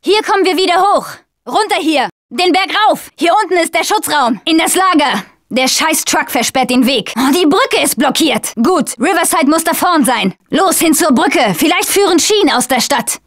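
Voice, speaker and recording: A woman's voice: high voice, lively intonation, normal speed, fairly bright tone, no room sound, clean studio sound. A woman voicing a young, tough and wry female zombie survivor in a video game dub, speaking in short, informal lines. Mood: alert.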